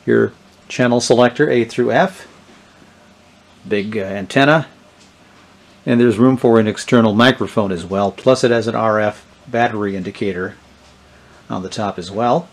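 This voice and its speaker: A middle-aged man. He talks casually and without a script, showing an item piece by piece. His delivery is halting, as if working out each point aloud. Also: lowish voice, vocal fry. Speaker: presenter of a vintage electronics channel. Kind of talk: casual unscripted product demonstration. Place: indoors, small tabletop product-shooting setup.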